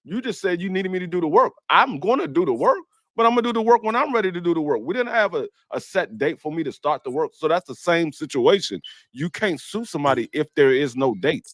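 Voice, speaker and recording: A man's voice: a little high, lively intonation, fast talker, a bit bright, no room sound. A man co-hosting a boxing talk show, stammering as he argues a point through examples. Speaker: co-host. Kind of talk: casual sports chat.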